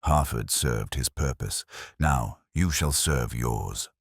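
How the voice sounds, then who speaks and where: A refined male villain acted by a narrator speaks with calm, measured menace. The voice is very low and husky, made for a mystery audiobook. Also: dry recording, studio quality, heavily acted. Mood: cold, controlling. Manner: soft, measured, ominous.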